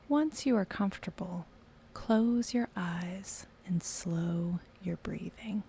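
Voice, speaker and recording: A woman narrating a guided meditation softly and slowly, breathy and often close to a whisper. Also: lowish voice, slight background noise. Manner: soft, slow, partly whispered.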